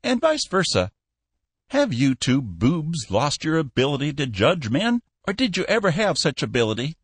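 A man voicing a cantankerous, bossy old shipping tycoon in an audiobook, shrill, scolding and full of bluster. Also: wildly swooping pitch, moderate pace, slightly rough, no room sound, exaggerated voice.

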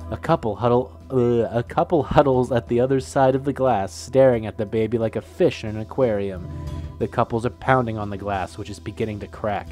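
A man's voice: mid-range voice, mellow. Flustered young man with a cold. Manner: swearing, laughing, easily flustered. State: getting over a cold, sniffling. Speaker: gameplay commentator. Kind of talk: casual let's play commentary, reading game text aloud.

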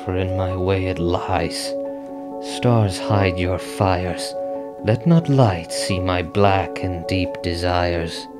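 An actor playing an ambitious, brooding Scottish general in a Shakespearean radio drama, speaking declamatory verse. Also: male voice, deep voice, takes it slowly, loud music behind the voice. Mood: dark resolve.

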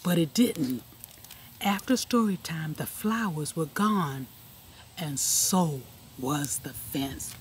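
A woman reading a children's picture book aloud, expressively and with deliberate emphasis. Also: deep voice, animated, slight background noise. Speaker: storybook reader. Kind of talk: children's read-aloud narration.

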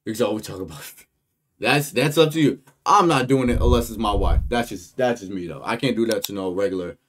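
Laid-back American streamer, mid-pitched man. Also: fairly quick. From United States. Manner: loose, rambling and joking, talking to chat. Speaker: streamer reacting to a video. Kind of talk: casual live-stream commentary.

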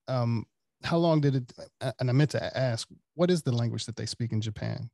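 A man's voice: mid-range voice, gravelly, warm tone, dry recording. A man hosting a podcast, asking casual questions that halt and restart as he phrases them, recorded in a small treated studio. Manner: halting, self-correcting questions. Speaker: podcast host. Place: small studio with acoustic panels, indoors. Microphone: studio microphone on a desk.

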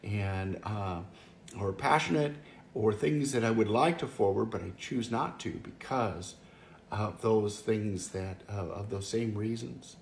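Middle-aged male pastor, earnest and conversational, gravelly, in a reverberant room with faint hiss. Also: on the low side, measured pace. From Wisconsin, US. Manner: earnest, conversational, frequent restarts. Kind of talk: home devotional talk. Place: living room by a fireplace, indoors. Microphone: camera or phone close up, seated.

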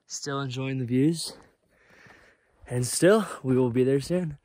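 A man's voice: mid-range voice, slow, warm tone. A young man in his twenties chatting upbeat to a phone camera while hiking a snowy mountain trail, a little out of breath. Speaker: vlogger. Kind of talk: casual hiking vlog to camera. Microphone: phone held at arm's length.

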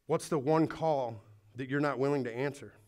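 A man's voice: mid-range voice, normal speed, a little mellow. A man preaching a sermon to a congregation in a church, speaking earnestly and urging his listeners, with some restarts. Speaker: pastor. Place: church hall before a congregation.

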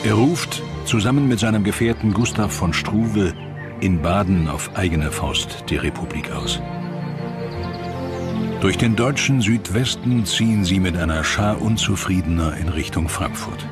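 A man narrating a scripted history documentary in a measured, dramatic storytelling manner. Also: low voice. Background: music.

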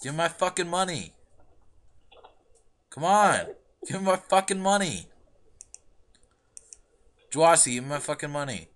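A man's voice: fairly high pitch, very animated, measured pace, pauses often, a bit bright. A young man arguing on an internet voice call, aggressive and profane, demanding the same thing over and over. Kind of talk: heated argument on an internet voice call.

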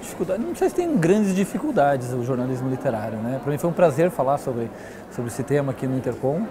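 A man's voice: medium pitch, sing-song, quick pace, crowd noise. A man in his forties with a Brazilian accent, answering interview questions in an emphatic, conversational way with repetition and frequent tag questions. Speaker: interview guest, literary newspaper editor.

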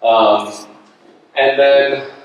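Heavily echoing room, distant mic, middle-aged man, medium pitch, earnest, halting, informal public presentation. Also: steady tone, dull audio. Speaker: guest speaker, nonprofit director. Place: indoor meeting hall. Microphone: handheld microphone through room speakers. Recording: very echoey room, distant sound.

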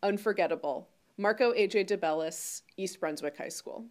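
An American woman in her thirties presents awards from a script. Her voice has a middle pitch. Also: normal speed. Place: indoors before a curtain.

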